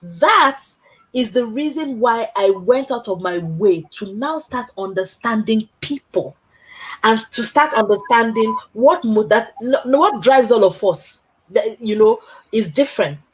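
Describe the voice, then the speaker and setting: Female host, husky and a touch high, animated storyteller, emphatic. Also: measured pace, poor audio. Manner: animated storyteller, laughs often; emphatic, tags points with "right?". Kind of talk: casual chat.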